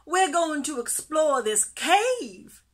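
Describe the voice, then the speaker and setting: Woman as a squeaky, excitable little pufferfish. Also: slow, full character voice. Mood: bursting with excitement. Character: Pufferfish, small bubbly excitable teasing fish.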